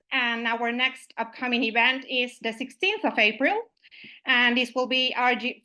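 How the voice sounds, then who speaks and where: A woman's voice: on the high side, bright, forceful tone. A woman from Uruguay, opening an online meetup as its host, friendly and full of "uh" and "I mean" fillers, over a video call microphone.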